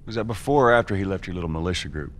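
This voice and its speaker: A man playing a gruff, weary middle-aged smuggler in a game cutscene, terse and sardonic. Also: deep voice, lively intonation, very fast, a little hiss or hum. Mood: sceptical. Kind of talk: video game cutscene acting.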